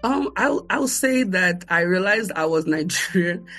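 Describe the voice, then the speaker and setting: A woman's voice: lowish voice, soft background music. A woman guest who grew up in the United States, telling stories animatedly and laughing often in a conversational interview. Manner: lively, laughs often, quick bursts of speech.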